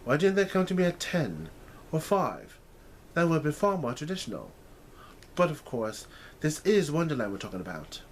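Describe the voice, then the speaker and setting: A man voicing an excitable, proper, well-bred young woman from a storybook, earnestly exclaiming and musing aloud. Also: fairly high pitch, moderate pace, a little hiss or hum, voice pushed up. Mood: puzzled musing. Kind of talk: storybook character reading.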